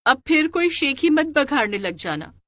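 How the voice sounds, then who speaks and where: Woman acting Samina, an expressive village wife. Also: high voice, normal speed, voice pushed up, heavily acted. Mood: teasing. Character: Samina, loving, teasing village wife in cartoon tale. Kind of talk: cartoon story character voice.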